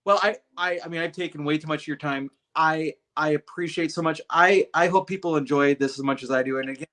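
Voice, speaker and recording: Man, podcast host, slightly high pitch, rambling, restarts sentences. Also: steady tone, a little fast, fairly bright tone. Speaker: podcast host, music educator. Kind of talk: casual conversation.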